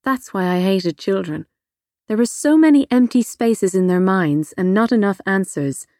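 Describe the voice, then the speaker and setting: A woman's voice: mid-range voice, animated, normal speed, warm tone, no echo, studio quality. A woman reading scripted first-person fiction as an audiobook narrator. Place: close, dry studio recording.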